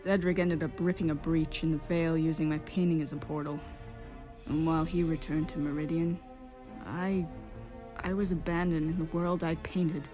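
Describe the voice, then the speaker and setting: A woman playing a melancholy exiled male court painter who tells his story in a measured, wistful way, in a fan-dubbed drama. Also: low-pitched, little pitch movement, lightly acted. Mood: wistful storytelling. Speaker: voice actor in a fan dub. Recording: music behind the voice. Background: instrumental music.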